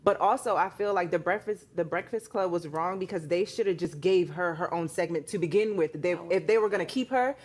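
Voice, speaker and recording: A woman's voice: lowish voice, a little fast, few pauses, darkish tone. A woman speaking as a talk show panelist, giving her opinions in an emphatic, conversational way.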